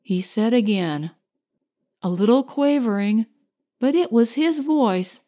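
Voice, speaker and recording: Woman, audiobook narrator, scripted story reading, raised pitch, unhurried pace. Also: expressive, warm tone.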